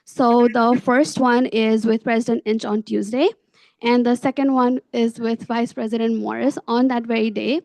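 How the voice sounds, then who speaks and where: A woman's voice: on the high side, fairly even intonation, normal speed, vocal fry, darkish tone, poor audio. A young adult woman speaking as a student government officer giving a report at a meeting. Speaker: student government vice president.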